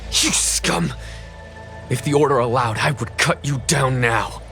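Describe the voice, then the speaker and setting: An actor playing a stern, dutiful soldier guard in a video game, curt, commanding and indignant. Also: male voice, medium pitch, unhurried, creaky, bright, forceful tone, soft background music. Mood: angry, scornful. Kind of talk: dramatic video game voice acting.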